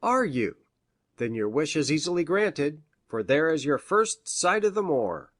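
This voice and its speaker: A learned country doctor from a classic mystery tale, acted by a man. The voice is a little high for a male one, formal and pedantic, recorded dry and close. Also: sing-song, unhurried, dry recording. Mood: learned, enthusiastic.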